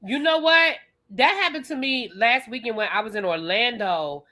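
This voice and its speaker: A woman's voice: fairly high pitch, animated, normal speed, bright, forceful tone. A woman from Washington, D.C. co-hosting a casual TV recap chat, blunt and emphatic, pressing her points. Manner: emphatic, blunt, repeats points with rising exasperation. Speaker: podcast co-host.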